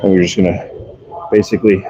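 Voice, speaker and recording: A man's voice: low voice, level tone, quick pace, muffled recording, background noise. A man in his early forties casually talking viewers through his workout live, explaining exercises offhand as he goes. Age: early 40s. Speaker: fitness live streamer. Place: workout space.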